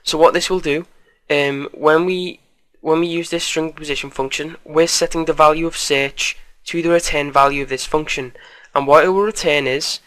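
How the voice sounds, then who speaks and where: A man with a fairly high, bright voice presents a casual screencast tutorial. His delivery stays quite monotone, informal and a bit hesitant, with a slight croak left over from a cold. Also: normal speed, few pauses, no room sound.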